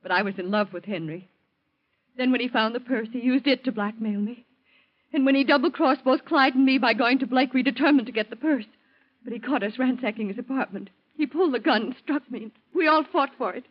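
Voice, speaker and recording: An American actress playing a resigned, frank wife with a criminal past in a 1940s radio drama. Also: female voice, fairly high pitch, a little fast, natural voice. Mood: resigned, confessing. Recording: muffled old radio broadcast.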